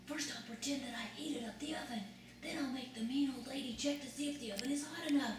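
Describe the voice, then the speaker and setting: A woman's voice: high-pitched, fast, noisy background, natural voice. A woman voicing a plucky, exclaiming child from a fairy tale in a live amateur puppet show. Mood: scheming. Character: young girl and boy of fairy tale, plucky. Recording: very echoey room, distant mic.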